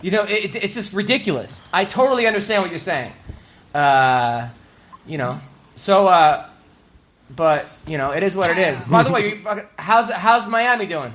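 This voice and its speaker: A man's voice: high voice, animated, normal speed, fairly bright tone. A man hosting a casual fight-sports talk show in a studio, laid-back and chatty, swearing casually. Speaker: show host.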